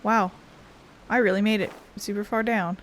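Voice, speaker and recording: A woman's voice: mid-range voice, steady tone, normal speed, slight background noise, poor audio. A woman giving informal, wry let's-play commentary as a video game streamer. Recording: game music behind the voice.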